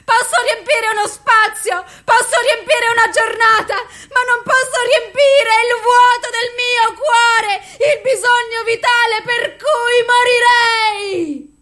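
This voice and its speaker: A woman acting an anguished, mentally ill, lovelorn woman in a theatrical dramatic monologue, with an intense, deliberate delivery. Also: very high-pitched, fairly even intonation, pushed and bright, exaggerated voice. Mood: wailing despair.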